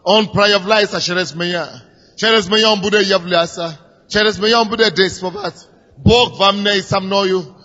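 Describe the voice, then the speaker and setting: A man's voice: high voice, fairly even intonation, moderate pace, pushed and bright. A man preaching. He grows fervent, repeats his phrases and leads the people in prayer. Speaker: pastor. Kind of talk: sermon leading prayer. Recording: dull lo-fi recording in a reverberant hall with music.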